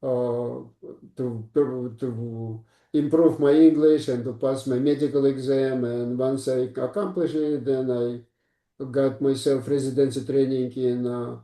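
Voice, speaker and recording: A man with a Russian accent, a native Russian speaker, talking haltingly in a casual interview as a psychiatrist guest. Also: medium pitch, steady tone, warm tone, reverberant, far from the mic, poor audio. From born in Ukraine, raised in Russia. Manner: halting, frequent "uh" and "you know", broken English grammar.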